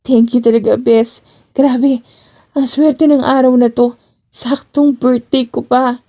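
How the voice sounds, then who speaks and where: Casting: woman reader playing an eager, pouty young Filipino woman, expressive audio-drama delivery, high mellow voice. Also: slow pace. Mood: excited.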